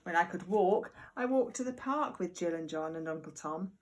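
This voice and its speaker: Woman w a lowish voice doing storytime for little kids, teacher style, expressive n deliberate. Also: a little hiss or hum. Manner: expressive, slow deliberate reading with chatty asides about pictures. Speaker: primary school teacher reading to pupils. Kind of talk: picture book read-aloud for young children. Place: at home.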